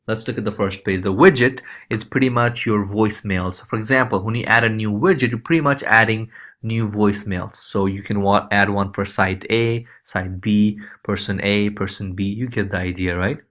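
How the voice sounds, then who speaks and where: Casting: male tutorial presenter, lowish voice, informal and loose, walking viewers through on-screen steps. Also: steady tone, moderate pace, a bit bright. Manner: informal, loose, repeats check-in tags like okay and right. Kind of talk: casual screencast walkthrough.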